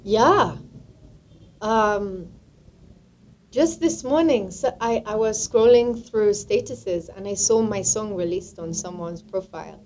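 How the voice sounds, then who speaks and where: A woman from England speaking as an interview guest, thoughtful and stop-start. Her voice is mid-pitched. A faint hiss sits behind her. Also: slow, a little mellow. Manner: thoughtful, trailing off and restarting. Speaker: guest musician. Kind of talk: casual interview talk.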